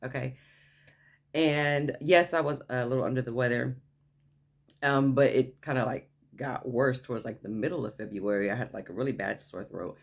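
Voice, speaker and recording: A woman with a low, naturally deep voice talks straight to her viewers in a casual story-time vlog. She is chatty and enthusiastic, piling on "okay?" asides as she builds up excitement. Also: normal speed. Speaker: vlogger.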